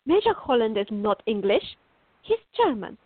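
A woman's voice: on the high side, big pitch swings, normal speed, slightly rough, no echo. A woman playing a fiery, stubborn, teasing young artist in a radio drama, with an emphatic, wry way of contradicting. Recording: thin, phone-like band-limited audio.

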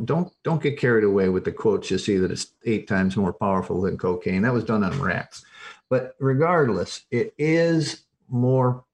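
A mature man talks as an expert guest in an informal interview. His pitch sits in a medium range, and he explains with emphasis, often correcting himself and repeating phrases as he goes. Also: darkish tone. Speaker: podcast guest, addiction recovery expert.

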